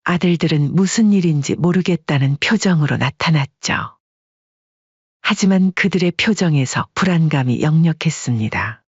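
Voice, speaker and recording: A man narrating a story audiobook in a steady storytelling manner, on a dull, dry recording. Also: on the high side, normal speed, slightly rough, no room sound.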